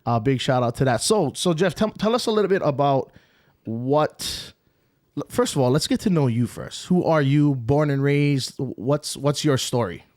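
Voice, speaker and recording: A man hosts a laid-back podcast. He talks in a hyped, joking way and throws in lots of "bro." His voice sits in a middle pitch and sounds close and dry, with little room around it. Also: lively intonation, normal speed, darkish tone, no room sound. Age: 30s. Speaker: podcast host. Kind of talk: casual podcast chat.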